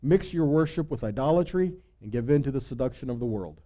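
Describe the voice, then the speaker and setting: A man teaching scripture to a congregation in an emphatic sermon, often posing rhetorical questions and dropping into quieter asides. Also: medium pitch, expressive, brisk.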